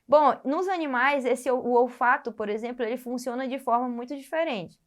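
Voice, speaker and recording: A Brazilian woman with a fairly high voice teaches a biology lesson. She explains step by step, often pausing on fillers and restarting her phrases. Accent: Brazilian Portuguese. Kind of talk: recorded classroom lesson.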